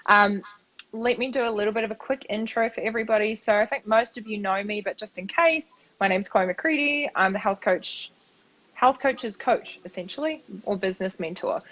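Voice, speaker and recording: A woman hosting a live interview, chatty and upbeat with a slightly croaky voice and many fillers. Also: medium pitch, normal speed, a bit bright, highs cut off. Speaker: host, business mentor for health coaches. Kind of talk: live-stream interview chat.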